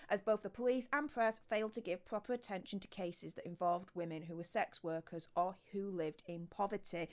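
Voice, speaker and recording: Chatty Yorkshire woman vlogger. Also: medium pitch. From Yorkshire, northern England. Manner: chatty, with many fillers and restarts, reads article excerpts aloud. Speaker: YouTube host. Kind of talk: casual commentary vlog.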